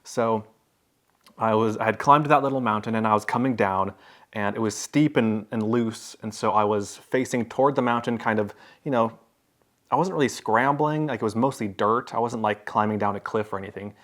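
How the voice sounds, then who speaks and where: A man with a somewhat low voice recounts a story to his camera in an easygoing vlog. He talks loosely and rambles, trips over his own words and leans on "like" and "you know". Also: moderate pace, fairly bright tone. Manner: rambling, filler-heavy storytelling with many restarts. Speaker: travel vlogger. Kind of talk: casual chat to camera.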